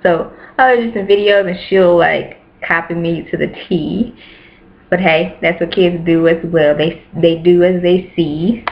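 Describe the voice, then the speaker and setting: A woman's voice: fairly low pitch, fairly even intonation, a little slow. Chatty young woman on a webcam. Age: 20s. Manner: chatty, repeats herself for emphasis. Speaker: YouTuber. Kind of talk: casual chat to camera. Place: small bedroom indoors. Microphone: webcam at close range. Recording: dull lo-fi webcam audio.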